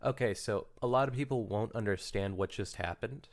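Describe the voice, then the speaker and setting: A man's voice: fairly low pitch, moderate pace. A man co-hosting a podcast, chatting informally and interjecting with asides and commentary. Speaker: podcast co-host. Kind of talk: casual chat and commentary.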